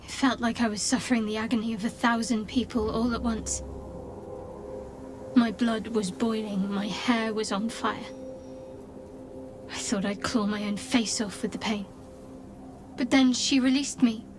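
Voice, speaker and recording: Haunted young cleric woman actress, fairly high voice, halting and distressed fantasy game delivery. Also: slight background noise, lightly acted. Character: young outcast dark-goddess cleric woman, anguished and haunted. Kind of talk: video game dialogue acting. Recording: music behind the voice.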